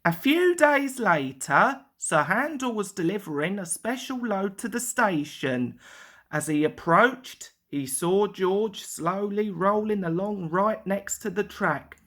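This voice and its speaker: A woman's voice: mid-range voice, expressive, slow pace, a bit bright. A woman reading a scripted children's story aloud as narrator.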